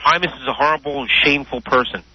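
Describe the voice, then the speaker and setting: A man calling in to a radio show, talking casually and somewhat haltingly. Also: mid-range voice, sharp tone, a little hiss or hum. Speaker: radio caller. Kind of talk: casual call-in talk. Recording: muffled dry phone-in recording.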